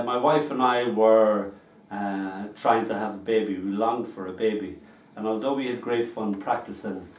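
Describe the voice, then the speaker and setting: A man's voice: lowish voice, darkish tone, a little hiss or hum. An Irish man giving a live poetry reading, telling wry, chatty stories between poems. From Ireland. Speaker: poet. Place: small live performance venue. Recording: echoey room picked up from a distance.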